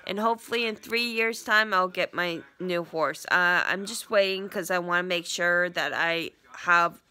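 A young woman talks into her phone from the couch, her voice stuffy and congested from a sinus infection. Her tone sits fairly low yet bright and clear, and she rambles along with plenty of ums and restarts. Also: moderate pace. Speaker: vlogger. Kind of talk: casual vlog update. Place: small living room indoors, on a couch. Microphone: phone front camera at arm's length.

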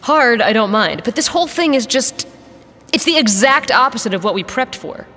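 A woman's voice: fairly high pitch, animated, moderate pace, sharp tone, big-room sound. A woman playing a guarded, blunt, loyal mercenary pilot in an audio drama, with a dry manner. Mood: exasperated.

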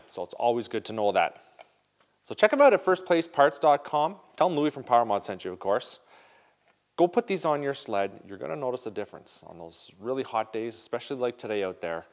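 A man in his forties runs a casual how-to demo in an indoor garage workshop. He talks straight to the viewers in an upbeat, easygoing way, recorded on a lapel mic. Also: mid-range voice. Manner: upbeat, direct, talks to viewers as "you guys". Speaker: show host demonstrating snowmobile parts.

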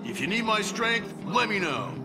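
Brash, high-pitched echidna fighter. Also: male voice, very animated, moderate pace, loud music behind the voice. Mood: upbeat, cocky. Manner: blunt, confident, punchy. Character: brash, tough young echidna fighter, hearty and confident. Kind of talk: video game voice acting.

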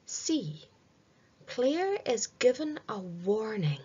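A middle-aged woman reading a children's multiple-choice quiz aloud in a deliberate, sing-song way with pauses. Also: on the high side, big pitch swings, mellow, breathy edge, lo-fi sound. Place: small room indoors.

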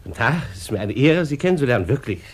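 An actor playing a middle-aged, oily charmer in a 1950s German radio play, with an unctuous, insinuating way of talking. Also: male voice, mid-range voice, sing-song, rapid-fire. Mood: gushing, flattering. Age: 40s. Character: oily, flattering middle-aged con man and suitor.

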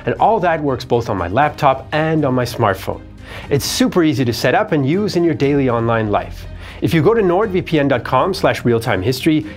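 A man in his thirties, host of a history channel, delivering scripted documentary narration in a studio. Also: fairly high pitch. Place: dark studio set.

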